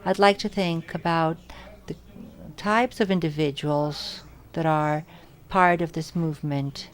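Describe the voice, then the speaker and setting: Low-voiced woman interpreting live in halting phrases. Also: steady tone. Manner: halting, phrase-by-phrase delivery with self-corrections. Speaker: simultaneous interpreter. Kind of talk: live interpretation of audience questions at an academic symposium.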